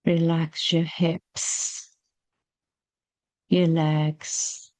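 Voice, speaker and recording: A woman leading a guided meditation, speaking gently and soothingly with long, unhurried pauses. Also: low-pitched, flat delivery, no echo.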